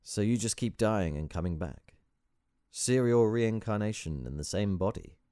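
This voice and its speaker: A man's voice: fairly low pitch, lively intonation, moderate pace, warm tone, clear, resonant tone, no echo, clean studio sound, voice pushed up, close to an everyday voice. A man as a wry, probing young sceptic. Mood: sceptical probing.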